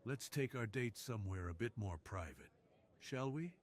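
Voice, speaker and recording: A man voicing an alluring, dominant crime boss in an intimate ASMR roleplay, speaking in a slow, teasing, hushed way. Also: medium pitch, lively intonation, natural voice. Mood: seductive, assured. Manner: slow, teasing, hushed delivery that drops into whispers. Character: alluring, dominant, seductive male crime boss with a tender side.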